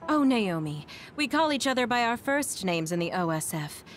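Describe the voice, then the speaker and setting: A woman's voice: on the high side, big pitch swings, normal speed, slight background noise. A woman playing a genial, easygoing military squad commander in an anime game, breezy yet authoritative. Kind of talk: English anime-game voice acting. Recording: music behind the voice.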